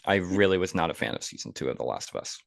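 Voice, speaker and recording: Deep-voiced man hosting a casual podcast. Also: sing-song, fast talker, gravelly, no echo, clean studio sound. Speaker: podcast host. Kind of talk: casual film podcast discussion.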